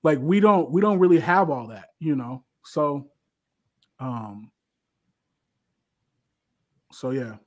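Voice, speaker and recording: American man, slightly high voice, thinking aloud. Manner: halting, repeats phrases as he thinks aloud. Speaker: guest on a livestream. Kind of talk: casual, conversational reflection.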